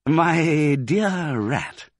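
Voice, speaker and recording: A man voicing a confident, fatherly fox leader in a children's storybook, speaking smoothly and with relish. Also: a little high, lively intonation, extremely slow, no echo, clean studio sound, pitched above the performer's own voice, heavily acted. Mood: softly menacing. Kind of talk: animated children's storybook character reading.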